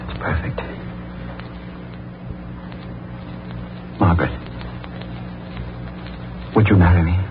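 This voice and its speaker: A muffled 1940s radio recording with music carries a man acting as a middle-aged judge. His voice is very deep, deliberate and grave. He is a respectable man gone lovesick and coldly murderous, narrating in an intense dramatic style. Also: noisy background, close to an everyday voice. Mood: ardent.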